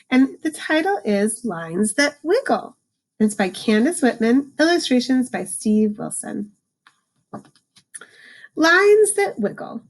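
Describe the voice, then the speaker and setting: A woman reading a picture book aloud to children, adding informal explanations and slowing down for the book's lines. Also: fairly high pitch, poor audio. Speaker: read-aloud host, teacher for children.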